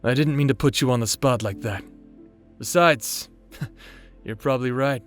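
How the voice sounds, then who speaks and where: A man's voice: mid-range voice, measured pace, voice pushed down, close to an everyday voice. A man performs an older, long-lived fantasy adventurer. He talks in a blunt, casual, sardonic way with a protective streak. Light music plays underneath the audio drama. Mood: apologetic, wry. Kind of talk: fantasy audio roleplay acting.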